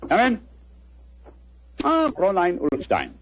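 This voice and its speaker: An actor playing a self-assured English gentleman detective in 1940s radio drama style, clipped and commanding, with a British accent. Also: high-pitched, huge pitch swings, unhurried, full character voice. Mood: assured, explanatory. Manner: clipped, authoritative, explanatory. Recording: dull old 1940s radio recording.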